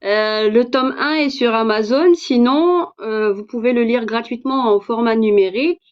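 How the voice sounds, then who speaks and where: French woman, Parisian accent, outspoken livestream host, high voice, muffled lo-fi audio. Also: moderate pace, smooth. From France. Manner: hesitant, many fillers, outspoken. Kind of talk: casual livestream talk.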